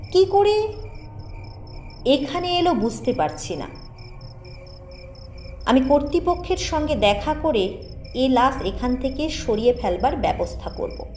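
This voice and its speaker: High, pleading, distressed woman in a narrated story. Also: a little mellow, heavily acted. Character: distressed, pleading woman claiming a relative's body. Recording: echoing voice over music bed.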